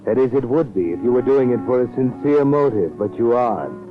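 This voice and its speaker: An actor playing a mysterious, sardonic storyteller narrating a 1940s radio mystery with an ominous, deliberate manner. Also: male voice, mid-range voice, lively intonation, lightly acted. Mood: mocking. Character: mysterious, sardonic male night-walking storyteller. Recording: tinny 1940s radio recording, music behind.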